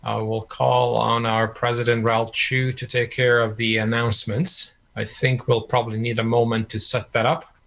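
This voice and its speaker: A man's voice: mid-range voice, little pitch movement, normal speed, gravelly, a bit bright. A man acting as host of an online club meeting, polite and orderly in an informal way.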